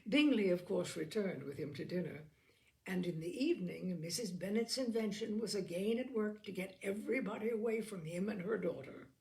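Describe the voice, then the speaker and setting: Female audiobook narrator for classic fiction, noticeably creaky tone, expressive delivery. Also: mid-range voice, moderate pace, rough recording. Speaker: audiobook reader. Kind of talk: scripted literary narration, reading a classic novel aloud.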